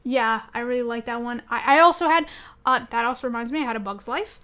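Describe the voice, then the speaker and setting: Woman podcast co-host, high bright voice, casual gaming chat, enthusiastic, rambling. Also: fast talker. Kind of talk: casual chat about video games.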